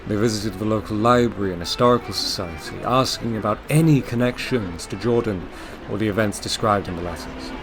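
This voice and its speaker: A man's voice: fairly low pitch, a little fast. A man narrating an audio drama in a scripted, dramatic storytelling style. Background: music.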